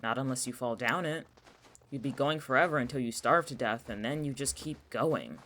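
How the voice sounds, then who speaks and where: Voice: mid-range voice, normal speed, a bit bright, a little hiss or hum, natural voice. An actor in a naturalistic audio drama playing an exasperated, protective young person with a casual, sardonic, blunt way of talking. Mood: wry, casual. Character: exasperated, protective young person who knows the town's dangers.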